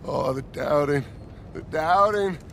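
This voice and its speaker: An actor playing a grandiose, scheming middle-aged American outlaw leader, theatrical and commanding, in a dramatic video game performance. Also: male voice, fairly high pitch, slow, creaky, a bit bright, a little hiss or hum, heavily acted. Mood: bitter, scornful.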